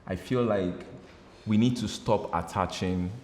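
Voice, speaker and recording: A Nigerian man with a very deep voice gives blunt, frank advice in an interview. A long reverb trails his words, and a faint hiss runs underneath. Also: a little slow, darkish tone. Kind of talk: candid interview answers.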